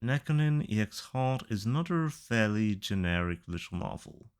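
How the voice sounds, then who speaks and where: A man giving casual opinion commentary through a ranked list in a matter-of-fact, measured way. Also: lowish voice, lively intonation, smooth. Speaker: video reviewer.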